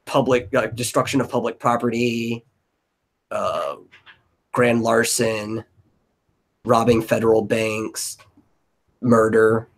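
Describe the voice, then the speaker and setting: A man's voice: medium pitch, fairly even intonation, slow, long pauses. A man in a casual brainstorming chat, explaining things and thinking aloud as a stream host.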